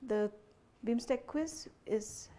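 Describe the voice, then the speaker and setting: A woman's voice: fairly high pitch, slow pace, warm tone. A young adult woman hosting a student quiz, explaining the rules in a chatty way, often saying "you know" and checking that the teams follow. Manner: chatty, frequent "you know" fillers, checks understanding with "okay?". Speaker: quizmaster.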